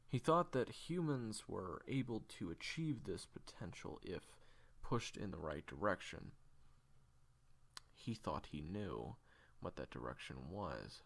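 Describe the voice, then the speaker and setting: A man playing a weary, secretive assassin in an audio drama, telling a dark past haltingly in a gravelly voice that slips toward a whisper. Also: low voice, big pitch swings, full character voice. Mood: grim, subdued. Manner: halting, deliberate, with heavy pauses. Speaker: audio roleplay voice actor. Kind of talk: hushed confessional storytelling in audio drama.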